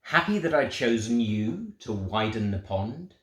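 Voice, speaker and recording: A man voicing a tender, proud God who slowly questions little creatures in a children's storybook. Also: medium pitch, very animated. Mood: tender questioning. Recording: roomy, echoing sound.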